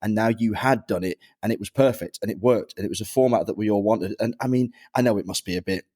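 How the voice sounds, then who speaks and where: A man hosting a casual podcast, asking his guest long questions full of praise. His voice sits a little low, and he speaks in easy, flowing sentences. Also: quick pace. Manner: enthusiastic, flattering questions. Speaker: podcast host.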